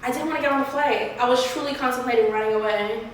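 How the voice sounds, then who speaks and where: A woman with a fairly high voice co-hosts a relaxed podcast, laughing easily and saying "like" a lot. She is heard from some distance in a very echoey room, with faint noise behind. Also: fast. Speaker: podcast co-host. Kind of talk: casual chat.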